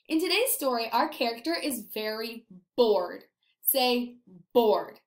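A young woman with a high voice teaches young children. She speaks simply and encouragingly, posing questions, in a roomy-sounding webcam recording from home. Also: lively intonation, slow. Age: young adult. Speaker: elementary school teacher. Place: indoors, small apartment room.

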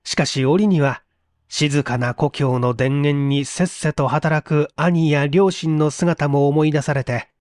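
A man reading a literary mystery story aloud in an expressive, theatrical storytelling manner. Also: a little high, takes it slowly, no echo, studio quality. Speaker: reader of audio stories.

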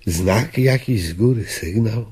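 An actor playing a weary, nostalgic old gentleman in a radio drama, speaking wistfully in halting, declamatory phrases. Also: on the low side, breathy edge, exaggerated voice. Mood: mournful. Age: older.

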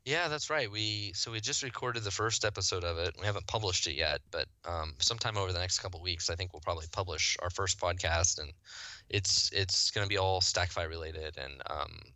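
Very deep, bright-toned man from the Midwest. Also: fairly quick, no room sound. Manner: fluent, enthusiastic explaining with restarts. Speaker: guest, software company founder. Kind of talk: casual podcast interview.

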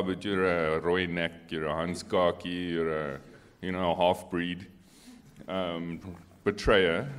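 A middle-aged man with a South African accent, a native Afrikaans speaker, telling personal stories casually on a panel into a handheld microphone. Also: fairly low pitch. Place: on stage in a church hall.

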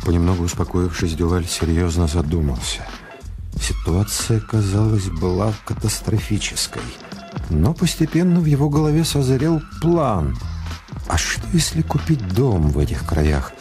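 Guy narrating a radio play, lowish voice, measured storytelling, music playing behind. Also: expressive. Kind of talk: scripted radio drama narration.

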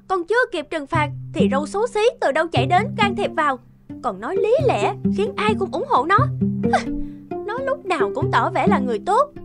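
Woman acting spoiled young cartoon princess, high-pitched, whiny, indignant, jealous, loud music. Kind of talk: dubbed fairy-tale cartoon acting.

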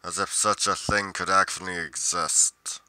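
A man playing a brooding, solitary monster investigator in a roleplay monologue, musing deliberately with pauses mid-sentence. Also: deep voice, fairly even intonation, pushed and bright, dry recording, full character voice, poor audio. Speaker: roleplay performer.

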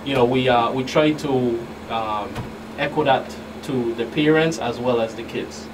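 Halting middle-aged man, distant and noisy. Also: mid-range voice. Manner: halting, repeats words. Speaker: federal agent guest. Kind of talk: radio interview answers. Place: small radio studio. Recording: distant mic, clear room hum.

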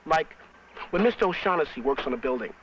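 A man's voice: fairly high pitch, expressive, fast talker, a bit bright, slight background noise, rough recording. A young American man playing a cheerful college student who narrates a 1970s educational film in a folksy, chatty way. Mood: folksy, upbeat. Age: young adult. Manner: folksy, chatty, addressing the viewer. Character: cheerful young college student guiding viewers round his street. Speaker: on-camera narrator.

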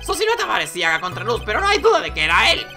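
A man's voice: high voice, wildly swooping pitch, racing, pushed and bright, soft background music, pitched above the performer's own voice, heavily acted. A man voicing an indignant male clown giving emphatic, dramatic testimony in a courtroom video game. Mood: insistent.

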